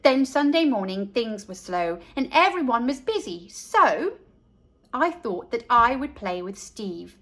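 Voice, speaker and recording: A woman's voice: on the high side, big pitch swings, unhurried, fairly bright tone, heavily acted. A woman voicing a cheeky, self-assured three-year-old girl who narrates a rhyming storybook in an innocent sing-song manner. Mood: cheerful, smug.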